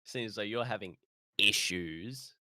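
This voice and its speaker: A man's voice: on the low side, a little slow, pushed and bright, no room sound, studio quality. A man co-hosting a podcast in a casual, rambling, opinionated chat. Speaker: podcast co-host.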